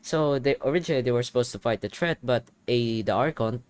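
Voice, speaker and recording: A man's voice: mid-range voice, quick pace, clean tone, dry recording. Casual male gamer, dry close mic. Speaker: gamer reacting to a story quest. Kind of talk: casual reaction commentary. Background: soft game music.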